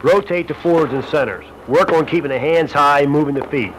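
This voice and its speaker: A man's voice: a little high, a little fast, a bit bright. A man reading scripted narration for an instructional basketball film, as a shooting instructor. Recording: noisy old Super 8 film soundtrack.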